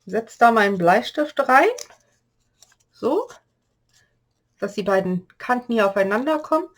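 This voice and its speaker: A woman's voice: a little high, animated, measured pace. Woman with a cold, chatty craft tutorial. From Germany. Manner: chatty, explains measurements while working. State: slightly hoarse from a cold. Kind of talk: step-by-step craft demonstration.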